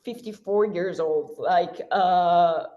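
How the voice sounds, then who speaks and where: A woman's voice: lowish voice, highs cut off, slight background noise. A young woman whose first language is Persian, a university student talking frankly as a guest in a casual interview. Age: young adult. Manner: chatty and frank, with frequent "like" and quick self-corrections.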